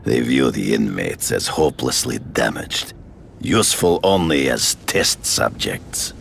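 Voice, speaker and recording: An actor playing a proud, cruel older hunter villain in a game cutscene, taunting slowly with a deep, gravelly voice. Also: male voice, big pitch swings, slight background noise, exaggerated voice. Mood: calm. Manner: taunting, slow and deliberate, honor-bound. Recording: game cutscene audio with loud score underneath.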